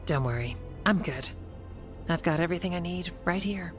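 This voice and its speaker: An actress playing a steadfast, practical warden in a fantasy video game, matter-of-fact and resolute. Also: female voice, lowish voice, animated, moderate pace, lightly acted. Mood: reassuring. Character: steadfast, practical female warden, dutiful and reassuring. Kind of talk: video game dialogue acting. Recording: game music underneath.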